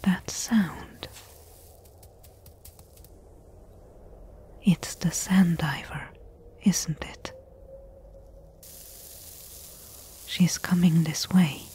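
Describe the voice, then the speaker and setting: Woman performing a daring female treasure hunter, ASMR roleplay, hushed whisper, lowish, soft mellow tone, companionable, coaxing. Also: steady tone, slow pace. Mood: urgent, wary. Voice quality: breathy, whispery.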